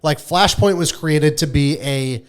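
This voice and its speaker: A man's voice: a little high, unhurried. A man co-hosting a casual gaming podcast, talking informally and emphatically with plenty of swearing. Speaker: podcast co-host.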